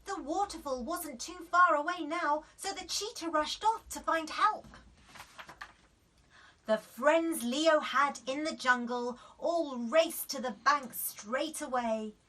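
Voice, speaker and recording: A woman storyteller giving an expressive reading of a children's bedtime story. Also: sits high, slow pace, distant mic.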